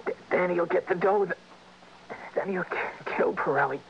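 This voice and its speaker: A dying convict, gasping and halting, with a gravelly, cracking voice pitched a little high. He stammers desperately through a muffled old radio drama recording. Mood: urgent, pleading. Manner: halting, stammering, repeating words. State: out of breath, gasping and faltering. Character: dying male prisoner, a guilt-ridden safe robber, desperate. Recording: muffled vintage radio recording, faint hiss.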